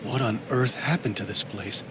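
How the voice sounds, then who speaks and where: An actor playing a distressed, brooding man in a video game who searches for his missing sister, speaking gravely and formally. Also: lowish voice, moderate pace, noisy background, natural voice. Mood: bewildered, urgent. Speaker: game protagonist and narrator. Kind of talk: dramatic video game voice acting.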